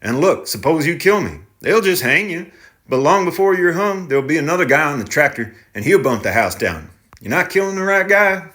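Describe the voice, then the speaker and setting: Curt young laborer, defensive and clipped, raised pitch; male narrator doing the voice. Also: sing-song, brisk, bright, forceful tone. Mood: blunt. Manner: terse, clipped working-man talk. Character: young male tractor driver, blunt and defensive.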